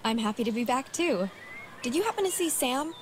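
A woman's voice: high-pitched, animated, a little fast. A woman voicing an eager, earnest teenage girl who loves her horse, in a video game. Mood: friendly, curious. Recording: loud game background noise.

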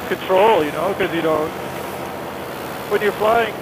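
A man with a high-pitched voice chats casually over a helmet intercom. Clear engine noise runs behind him as he talks from the air. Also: a little slow. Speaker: paramotor pilot. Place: outdoors, in flight on a paramotor. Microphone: helmet headset intercom.

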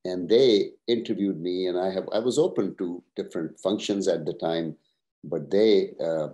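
A man in his sixties, originally from Pakistan, answering interview questions over a video call at a measured pace, with many "uh" pauses. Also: low-pitched, warm tone. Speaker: guest, professor and retired executive.

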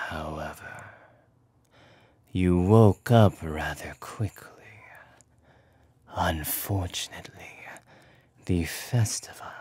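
A man's voice: sits low, darkish tone, no echo, heavily acted. Man playing a whispering, ominously courteous male demon. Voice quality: breathy whisper. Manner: slow, controlled, polite. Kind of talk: ASMR character roleplay.

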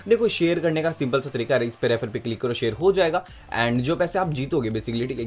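Fast, animated young man. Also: mid-range voice. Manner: chatty, persuasive, addresses viewers as buddies. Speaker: gaming video creator. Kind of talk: casual tutorial voice-over mixing Hindi and English. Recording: music behind the voice. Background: background music.

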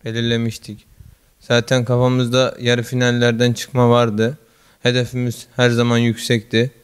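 A teenage student athlete giving brief, modest answers in a school office, speaking into a handheld mic. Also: male voice, mid-range voice, completely flat delivery, normal speed, smooth. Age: teens. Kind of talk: interview answers. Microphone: handheld mic held by interviewer.

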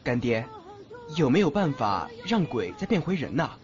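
A man's voice: medium pitch, very animated, moderate pace, close to an everyday voice. A young man playing a cheeky, lovestruck college student in an audio drama, boyish and earnest. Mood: curious, cheeky. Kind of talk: Chinese audio drama acting. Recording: muffled lo-fi, faint noise.

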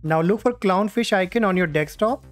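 A man hosting a step-by-step software tutorial, speaking into a USB condenser microphone. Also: high-pitched, normal speed. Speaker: tutorial host. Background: music.

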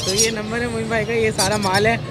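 A man hosting a vlog, politely and warmly questioning sellers at an outdoor bird market. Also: sits high, level tone, brisk, loud music behind the voice. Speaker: vlogger host.

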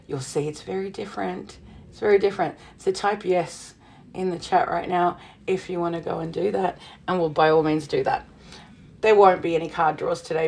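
Chatty woman with a slightly husky voice. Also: fairly low pitch, darkish tone. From Southern Hemisphere. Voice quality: bubbly, chatty, many fillers and laughs. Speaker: witchcraft livestream host. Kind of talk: casual livestream chat to viewers. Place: witchy cottage room indoors.

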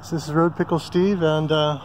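A man's voice: a little high, little pitch movement, moderate pace, a little mellow, slight background noise. A man casually vlogging outdoors at a riverside campsite, filming with a handheld phone and rambling with many ums and self-corrections. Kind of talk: casual how-to vlog. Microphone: handheld phone camera.

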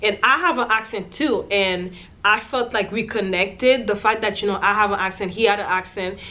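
A woman's voice: mid-range voice, normal speed, sharp tone. Young woman vlogger, casual storytime to camera in a small room, candid and chatty. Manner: chatty, candid, lots of "like" and "you know".

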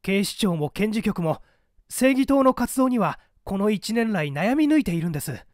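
An anxious male secretary, voiced by a man reading a story pleads earnestly and deferentially. The pitch is high for a man and very animated, recorded dry for an audiobook. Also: moderate pace, dry recording. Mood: earnest warning. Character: earnest, anxious male secretary addressing his superior respectfully. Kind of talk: audiobook character acting.

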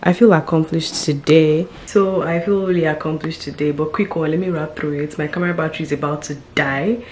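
Chatty young woman vlogging, low voice. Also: dark tone. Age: 20s. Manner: chatty, addresses viewers as guys. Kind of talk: casual vlog chat to camera. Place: small bedroom indoors. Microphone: camera mic at arm's length and across the room.

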